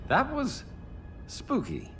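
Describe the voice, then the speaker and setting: A man's voice: high voice, wildly swooping pitch, takes it slowly, exaggerated voice. A man playing a cocky American superhero archer in a video game, flippant and sardonic. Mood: wry. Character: wisecracking cocky male superhero archer, irreverent. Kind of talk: video game cutscene acting. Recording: loud music under voice.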